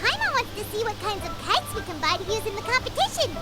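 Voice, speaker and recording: Tiny childlike female fairy sidekick, video game: piercingly high, swooping, chirpy. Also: big pitch swings, fast talker, music in the background. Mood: cheerful, eager. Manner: chirpy, refers to herself in third person.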